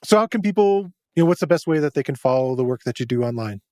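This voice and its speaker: A man's voice: mid-range voice, animated, fast talker, dark tone, no echo, clean studio sound. A man hosting a podcast, upbeat and affirming as he asks his guest open questions. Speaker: podcast host. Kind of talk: casual interview chat.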